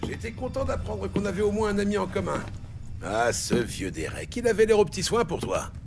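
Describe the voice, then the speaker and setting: An actor playing a bitter, weary police lieutenant in a French noir audio drama, with a gravelly, brooding, terse delivery. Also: male voice, high-pitched, sing-song, fairly quick, heavily acted. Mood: menacing, probing. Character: hard-boiled, bitter, weary male New York police lieutenant. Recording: studio drama with loud music behind.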